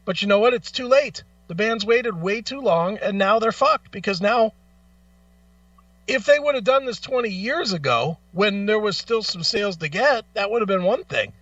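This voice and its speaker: High-pitched man, blunt radio talk host. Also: lively intonation, normal speed, fairly bright tone, dry recording, distant mic, lo-fi sound. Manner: blunt, profane, exasperated, emphatic. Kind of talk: opinionated casual radio talk.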